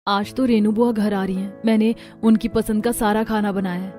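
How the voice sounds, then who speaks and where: A woman voicing a meek, lonely, mistreated young stepdaughter in an animated melodrama, with plaintive delivery. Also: medium pitch, normal speed, soft background music, lightly acted. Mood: hopeful. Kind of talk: Hindi animated family melodrama acting.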